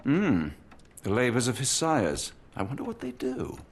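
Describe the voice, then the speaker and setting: A man's voice: mid-range voice, wildly swooping pitch, unhurried, creaky, husky, heavily acted. A man with a British accent playing an earnest, formal doctor and loyal companion in a video game. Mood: inquiring. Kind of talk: scripted video game cutscene acting.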